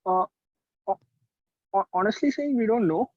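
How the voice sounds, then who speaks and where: A man's voice: high voice, animated, normal speed, darkish tone, no room sound. A man in his twenties with an Indian accent, chatting casually as a musician guest in an interview in a loose, hedging way. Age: 20s. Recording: dry, dull lo-fi call sound.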